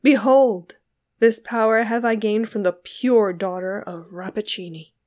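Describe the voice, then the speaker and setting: A woman's voice: medium pitch, steady tone, measured pace, a little mellow, close to an everyday voice. A woman reading an audiobook, voicing an enraged, scornful young man with bitter, archaic, declamatory speech. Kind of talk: dramatic literary audiobook reading.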